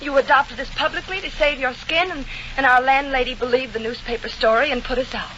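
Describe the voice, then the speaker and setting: A woman's voice: sits high, brisk, a bit bright. Sardonic but caring leading lady, old radio play, noisy recording. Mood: sarcastic. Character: sharp, sardonic young woman softening into earnest concern. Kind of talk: 1940 radio comedy acting. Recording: muffled, noisy vintage radio broadcast.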